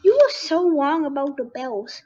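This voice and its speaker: A girl playing an eager high-pitched little bunny. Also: expressive, unhurried, mellow. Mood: gushing. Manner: pleading, breathless enthusiasm. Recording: dull lo-fi sound with faint noise.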